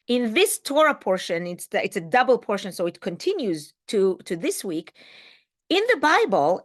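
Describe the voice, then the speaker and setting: A woman giving a reflective spiritual teaching talk, speaking emphatically with rhetorical questions and pauses for effect. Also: fairly high pitch. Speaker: religious teacher.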